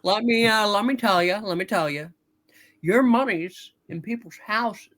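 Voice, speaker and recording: A man's voice: sits high, normal speed, fairly bright tone. A man playing an earnest, flustered young loan-company boss in a hammed-up radio play reading, stammering and urgent. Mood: pleading.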